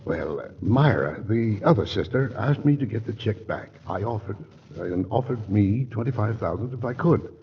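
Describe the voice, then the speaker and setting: An actor playing a scheming male lawyer who has turned murderer in a 1940s radio play, smooth and wheedling. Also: on the low side, expressive, normal speed, slight background noise. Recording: muffled 1940s radio broadcast.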